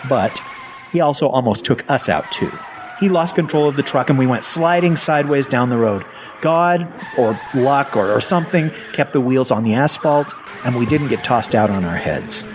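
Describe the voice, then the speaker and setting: A man's voice: mid-range voice, lively intonation, normal speed, background noise, close to an everyday voice. An actor playing a wry, self-deprecating survivor of a zombie apocalypse, telling his story in a folksy, sardonic first person. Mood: tense, wry. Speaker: actor narrating an audio fiction story. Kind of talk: first-person storytelling, dramatic audio fiction.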